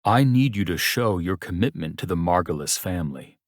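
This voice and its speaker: Guy doing a rich older boss in an audiobook, deep, smug, super condescending, talks down to you. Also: expressive, dry recording, clean studio sound. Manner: condescending, unhurried, taunting. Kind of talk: audiobook character dialogue.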